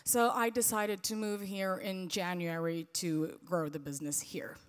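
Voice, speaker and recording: A woman's voice: on the low side, fairly even intonation, a little slow, smooth. Brief: woman panelist, dry humor, echoey hall. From Sweden. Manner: wry, self-deprecating. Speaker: panelist, company president. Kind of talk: startup pitch on a panel.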